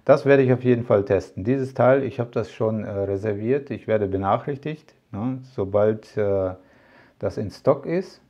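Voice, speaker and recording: Low, mellow man rambling about gear. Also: a little slow, lo-fi sound. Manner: rambling, many fillers and asides. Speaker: tech vlogger. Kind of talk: casual product talk to camera.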